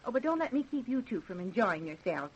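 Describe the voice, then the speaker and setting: A woman's voice: on the high side, big pitch swings, a little fast, husky, dull audio. An actress playing a fussy, kindly, old-fashioned middle-aged American mother in an old-time radio drama. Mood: fussing, chiding.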